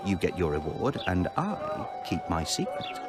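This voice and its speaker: A wheedling, evasive shopkeeper in a fantasy video game. His medium voice jumps around excitably and defensively, with music clearly playing behind him. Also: low voice, a little slow, exaggerated voice. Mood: evasive, bargaining. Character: shifty, defensive male incense shopkeeper.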